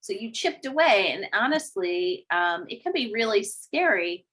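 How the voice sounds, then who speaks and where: Interview host: woman with a bright, forceful voice, encouraging and affirming. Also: mid-range voice, sing-song, a little slow, husky. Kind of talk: casual interview talk.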